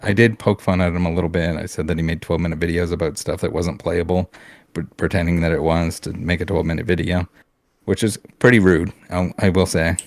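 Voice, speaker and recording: Low-voiced blunt man ranting. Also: steady tone, a little fast, no room sound, studio quality. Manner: blunt, heated ranting with swearing and restarts. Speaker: podcast co-host. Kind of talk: casual podcast chat.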